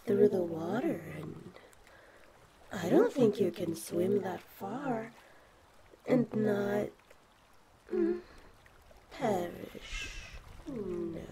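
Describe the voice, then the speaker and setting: A woman playing a giant, possessive female kraken in a sweetly menacing, coaxing ASMR roleplay, with drawn-out, intimate delivery. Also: medium pitch.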